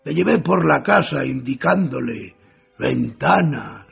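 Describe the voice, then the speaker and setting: Slow gravelly actor as a weary writer. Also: male voice, mid-range voice, lively intonation, a bit bright, soft background music, heavily acted. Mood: weary, deadpan. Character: weary, wry ageing male writer reading his diary. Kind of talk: radio theatre narration.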